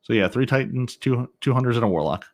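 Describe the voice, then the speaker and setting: A man's voice: medium pitch, lively intonation, fast talker, darkish tone. A man hosting a gaming podcast, chatting casually in a wry, jokey way. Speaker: podcast host.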